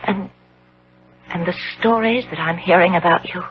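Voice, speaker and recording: A woman's voice: lowish voice, normal speed, natural voice. An actress playing a devoted, anxious young fiancée in a 1970s radio drama, earnest and hesitant in her melodramatic delivery. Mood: worried. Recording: dull, noisy old radio broadcast.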